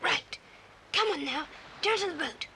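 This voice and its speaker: A woman's voice: high-pitched, expressive, unhurried, vocal fry, full character voice. A woman playing a bold, bossy boy who leads an adventure in a children's audio drama. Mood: commanding. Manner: decisive, commanding. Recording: faintly hissy old cassette tape.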